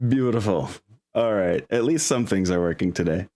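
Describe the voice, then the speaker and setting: A man narrating a tabletop game as game master, with a halting, hesitant delivery. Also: sits low, lively intonation, warm tone.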